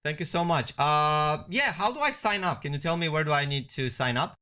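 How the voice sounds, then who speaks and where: Man presenting a software walkthrough. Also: fairly high pitch, animated, a little fast, clear, resonant tone. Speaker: product demonstrator. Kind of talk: conversational software walkthrough narration.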